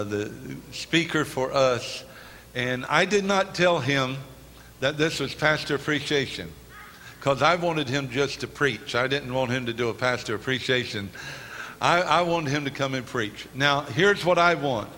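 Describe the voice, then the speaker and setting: A man with a bright, forceful voice gives a ceremonial introduction in a church. He speaks slowly and emphatically, phrase by phrase, as host to the congregation. The hall adds long reverb and a little background noise. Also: mid-range voice.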